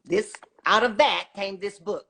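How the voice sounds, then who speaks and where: Woman in her sixties, mid-pitched, conversational. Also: unhurried, vocal fry, bright, forceful tone. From Texas, United States. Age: 60s. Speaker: guest author, retired science teacher. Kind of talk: conversational interview, telling own story.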